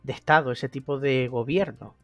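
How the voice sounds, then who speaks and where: A man's voice: medium pitch, a bit bright, no echo, soft background music. Man interviewing, casual. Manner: casual, fills pauses with "eh". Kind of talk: interview questions.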